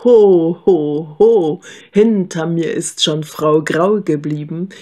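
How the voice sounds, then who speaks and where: A woman's voice: sits low, slow, mellow. Woman as a boastful runaway pancake. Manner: chanting, boastful. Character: cheeky runaway pancake, boastful and sing-song.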